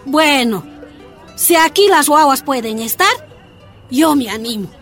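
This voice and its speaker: An anxious young-sounding miner's wife in a radio drama. She speaks very high and slowly, hesitant and earnest, with a Bolivian accent. Soft music runs underneath. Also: expressive. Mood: shy, willing.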